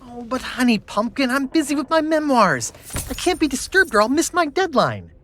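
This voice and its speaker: A man's voice: very high-pitched, big pitch swings, normal speed, bright, forceful tone, a little hiss or hum, exaggerated voice. A male actor playing a vain, boyish, self-important pirate hero with theatrical delivery in a video game. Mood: whiny. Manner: pompous, theatrical. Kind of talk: video game cutscene acting.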